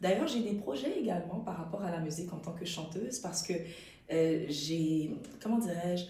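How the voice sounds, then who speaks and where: A woman from Canada with a low voice, speaking earnestly as an interview guest, pausing and restarting as she reflects. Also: animated, mellow. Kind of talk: conversational interview. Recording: roomy, distant mic.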